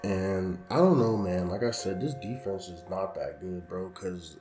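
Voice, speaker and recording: Young male gaming commentator, losing his voice, very low pitch, casual slang; roomy echo with background music. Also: measured pace. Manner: casual, slangy, frustrated and animated. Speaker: gaming YouTuber. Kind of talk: gameplay commentary.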